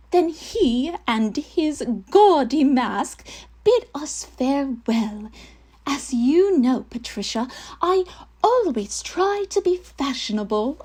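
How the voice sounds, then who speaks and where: A woman playing a naive, prim young Victorian English gentlewoman in a mannered, comic theatrical monologue, speaking her letter with arch, genteel earnestness. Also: high-pitched, exaggerated voice. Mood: amused, haughty.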